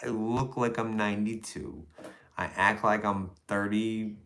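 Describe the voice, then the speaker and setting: Joking man in his forties, rather low. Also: fairly even intonation, unhurried, a bit bright. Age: 40s. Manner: loose, joking, rambling talk. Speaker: stream host. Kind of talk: casual live-stream chat.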